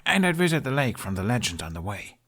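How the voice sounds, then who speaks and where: An earnest young storybook prince, acted out by a man, dreamy and hopeful. His words come eagerly, as if he is searching for the next one, and his pitch sits a little above the narrator's low register. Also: sing-song, fast, a bit bright, breathy edge. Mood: curious.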